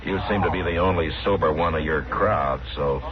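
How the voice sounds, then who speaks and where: An actor playing a calm, authoritative Old West marshal in a radio western, speaking in a terse, firm, unhurried way. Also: male voice, low-pitched, noisy background, natural voice. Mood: calm, businesslike. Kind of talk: 1950s radio western acting. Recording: muffled old radio recording, loud saloon crowd.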